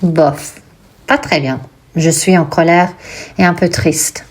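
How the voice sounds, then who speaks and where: A woman reading a glum young woman in a textbook dialogue. She speaks very slowly in a lowish voice and lays out her bad day with care. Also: steady tone, a little mellow, breathy edge. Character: upset young woman, Julie, venting to a friend.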